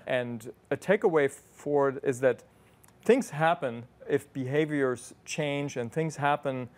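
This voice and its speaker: A man, a guest in an interview, telling his memories in a thoughtful, measured way. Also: mid-range voice. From West Germany. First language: German. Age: 50s. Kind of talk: reflective conversational storytelling.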